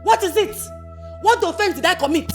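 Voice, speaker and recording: A woman playing a sharp-tongued, defiant wife who scolds and mocks. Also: very high-pitched, animated, fairly quick, soft background music. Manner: mocking, scolding.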